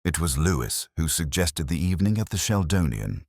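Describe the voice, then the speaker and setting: A man playing a brooding, erudite middle-aged detective who narrates his case in a measured, literary, reflective way, as scripted mystery narration. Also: rumbling low, big pitch swings, darkish tone, dry recording, studio quality, full character voice. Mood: wry, melancholic.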